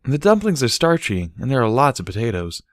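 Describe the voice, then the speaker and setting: Guy doing a chatty mare for a storybook, teasing n hearty, voice pushed up higher, dry sound. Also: big pitch swings, brisk, no room sound, close to an everyday voice. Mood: playful. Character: cheerful teasing adult female pony, hearty and practical.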